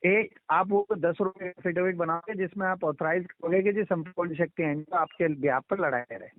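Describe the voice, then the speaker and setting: A man's voice: high voice, normal speed, dry recording. A man explaining a procedure insistently over the phone, repeating his instructions and talking over others. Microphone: telephone line. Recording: muffled band-limited phone call recording.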